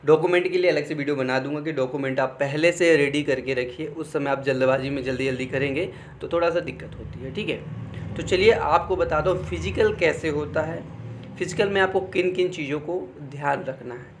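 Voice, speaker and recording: A man's voice: a little high, brisk, noisy background. A young man, explaining things informally to students in a conversational way, often checking in as he goes. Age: young adult. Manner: conversational, repeatedly checks in with "okay". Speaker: exam guidance YouTuber.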